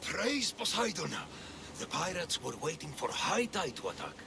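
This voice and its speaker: A man's voice: on the high side, big pitch swings, normal speed, creaky, background noise. A male actor playing a grateful, rattled fisherman in a video game cutscene, effusive and earnest. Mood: relieved, grateful.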